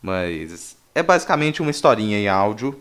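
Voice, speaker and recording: A man's voice: fairly low pitch, very animated, brisk, fairly bright tone, smooth. A man with a Brazilian accent hosting a podcast casually, with joking, mock-serious asides. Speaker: podcast host. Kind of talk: casual, playful podcast presentation.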